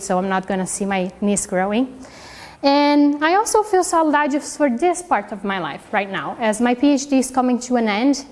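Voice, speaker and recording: A woman's voice: on the high side, darkish tone. A young woman from Brazil, a native Portuguese speaker with a Brazilian accent, giving an earnest, heartfelt prepared speech with small grammar slips. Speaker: PhD student giving a speech. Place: hall with a live audience. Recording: reverberant hall.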